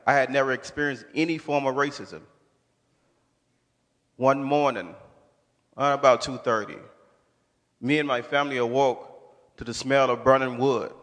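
A man's voice: medium pitch, fairly bright tone. A man from Mississippi giving a rehearsed spoken testimony on stage. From Shelby, Mississippi, USA. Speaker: speaker in a readers' theater presentation. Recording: echoing hall with long reverb.

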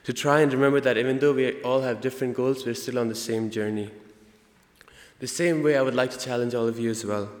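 A young man with an Indian accent gives an earnest prepared student speech, halting now and then to correct himself. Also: medium pitch, steady tone. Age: young adult. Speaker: student speaker. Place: large indoor hall, convocation. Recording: echoey hall with long reverb.

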